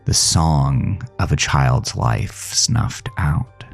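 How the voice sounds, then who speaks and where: A man narrating a first-person horror audio drama in a somber, dramatic manner with deliberate phrasing. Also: rumbling low, expressive, vocal fry. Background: music.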